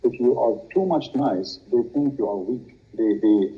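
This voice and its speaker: A man whose first language is Arabic, speaking as a guest in a halting, hesitant way with many fillers. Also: mid-range voice. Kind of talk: casual discussion. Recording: tinny phone-like line.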